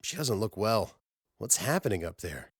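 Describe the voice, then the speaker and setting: Sci-fi audiobook lead: male mission commander read by a man, low-pitched, steady, dry, unhurried authority. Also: breathy edge, dry recording, exaggerated voice. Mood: measured, concerned.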